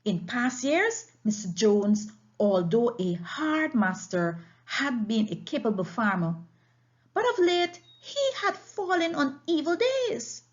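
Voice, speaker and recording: A woman reads a story aloud in a fairly high voice. Her delivery is unhurried and very animated, made for family listening. The home recording is slightly dull and lo-fi. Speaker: audiobook reader.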